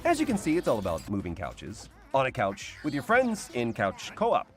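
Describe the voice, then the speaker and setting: A man's voice: mid-range voice, huge pitch swings, normal speed, soft background music. A man co-hosting a video game showcase, presenting scripted comedy in a playful, theatrical, jokey way.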